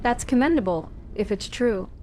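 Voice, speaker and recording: A woman's voice: a little high, expressive, moderate pace, warm tone, a little hiss or hum, close to an everyday voice. A woman playing a stern young elf warrior. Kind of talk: fan-voiced game protagonist.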